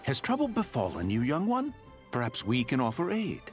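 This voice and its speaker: A man's voice: medium pitch, wildly swooping pitch, normal speed, faint music behind. A man playing a lofty, mischievous immortal in a fantasy game, speaking in archaic, florid phrases. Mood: concerned. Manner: archaic, florid, lamenting diction. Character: lofty immortal male adeptus, theatrical and archly mischievous. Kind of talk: fantasy video game voice acting.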